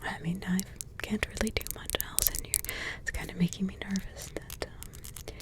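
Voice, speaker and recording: A woman voicing a doting grandmotherly witch in an ASMR roleplay, with a soft, tender whisper and rambling asides. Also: medium pitch, steady tone, moderate pace, lightly acted. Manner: tender, sidetracked asides, affectionate endearments.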